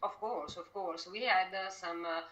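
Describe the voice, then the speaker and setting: Bright-voiced Italian-accented woman, halting delivery. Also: fairly low pitch, steady tone. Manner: halting, searching for words in non-native speech. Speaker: company representative being interviewed. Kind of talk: conversational interview answers.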